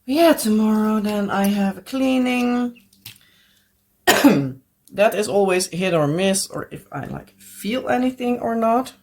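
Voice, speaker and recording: A woman's voice: mid-range voice, takes it slowly. A Dutch woman with a Dutch accent chatting casually at home as a craft vlogger, rambling and searching for English words with frequent little laughs. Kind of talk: casual chat while crafting.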